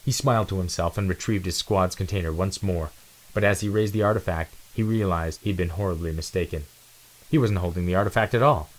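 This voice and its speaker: Low-voiced man narrating an adventure story. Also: a little fast, poor audio. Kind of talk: dramatic scripted storybook narration.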